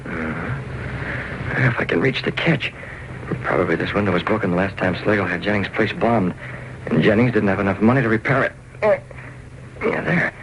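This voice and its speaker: Composed radio vigilante, noisy old recording. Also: male voice, fairly low pitch, lively intonation, normal speed, long pauses, fairly bright tone. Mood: calm, focused. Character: composed, commanding masked vigilante, male. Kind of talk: 1930s-40s radio drama acting.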